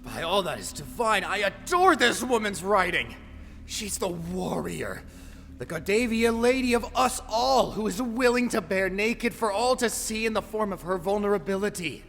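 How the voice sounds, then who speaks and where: An actor playing a menacing, taunting, grandiose tormentor in an audio drama, swinging between whispers and shouts. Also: male voice, high-pitched, lively intonation, normal speed, gravelly, heavily acted. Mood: rapturous. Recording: long hall reverb, faint noise.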